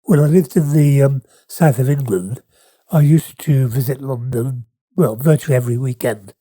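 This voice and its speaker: A man's voice: medium pitch, normal speed, warm tone, no echo, clean studio sound. A wheezy man with a chest infection, a guest author chatting thoughtfully in an interview with some hesitations. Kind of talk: casual interview chat.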